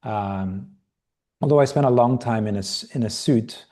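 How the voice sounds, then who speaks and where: A middle-aged man from Britain who grew up partly in Asia, talking casually as an interview guest in a reflective, halting way. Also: mid-range voice, a little mellow. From Britain, raised partly in Asia. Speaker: podcast guest, yoga and qigong teacher trainer.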